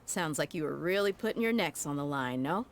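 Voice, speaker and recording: Low-voiced confident mercenary woman. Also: lively intonation, brisk. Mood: serious. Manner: casual, self-assured, teasing. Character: confident young female mercenary, tough, teasing, easygoing.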